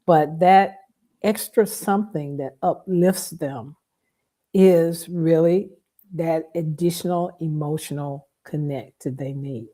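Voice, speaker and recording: A woman's voice: sits low, slow, mellow. A woman hosting a conversational interview, speaking in a reflective, roundabout way as she sets up her questions. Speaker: podcast host, interviewer.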